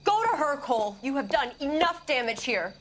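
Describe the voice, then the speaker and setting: A woman's voice: sits high, very animated, normal speed, fairly bright tone, slight background noise. A woman playing a hurt, angry wife and mother who has been betrayed, in a dramatic video game.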